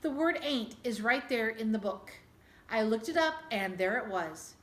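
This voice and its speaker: A woman's voice: fairly high pitch, lively intonation, a little slow. A woman reader voicing an earnest, stubborn schoolboy in a storybook, plain-spoken and insistent. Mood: confident. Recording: echoey room.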